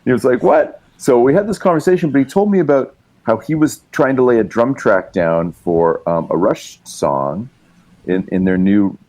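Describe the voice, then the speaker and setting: A man's voice: mid-range voice, animated, normal speed, darkish tone. A male musician and writer, a guest telling long, rambling stories in casual chat, with many fillers and restarts. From Canada.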